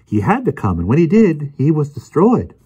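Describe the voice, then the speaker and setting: Man playing a high-pitched, smug know-it-all gentleman. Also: wildly swooping pitch, moderate pace, breathy edge, heavily acted. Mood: teasing, grand. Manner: arrogant, theatrical, lecturing. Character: smug, bouncy, know-it-all older gentleman explaining mysteries.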